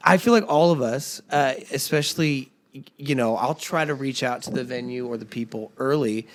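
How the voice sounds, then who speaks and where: A man hosting a casual chat with his bandmates, rambling through stories with many fillers and restarts, his voice a little raspy. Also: medium pitch, moderate pace. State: slightly raspy voice. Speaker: podcast host and band singer.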